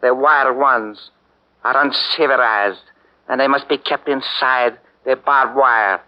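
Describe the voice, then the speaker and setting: An actor playing a stern Japanese army lieutenant in a 1940s radio drama, with clipped commanding delivery. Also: male voice, mid-range voice, steady tone, slow pace, lightly acted. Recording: muffled 1940s radio broadcast.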